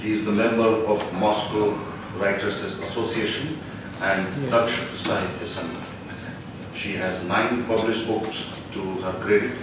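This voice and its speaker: Formal male host in echoey hall. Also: lowish voice. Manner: formal, reading a list. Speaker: event host. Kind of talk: formal introduction. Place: conference hall. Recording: echoey hall, noisy, far mic.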